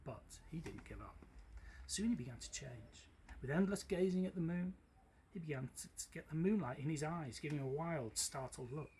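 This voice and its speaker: A man reading a children's story aloud in an expressive storytelling style. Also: fairly high pitch, moderate pace. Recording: clearly noisy background.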